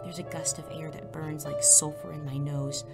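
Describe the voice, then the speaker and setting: A woman's voice: deep voice, flat delivery, moderate pace. A woman playing an anxious, self-doubting teenage girl who narrates her superhero adventure in a hesitant, confiding, breathless way. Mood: tense, alarmed. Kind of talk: first-person dramatic narration and dialogue. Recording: music underneath.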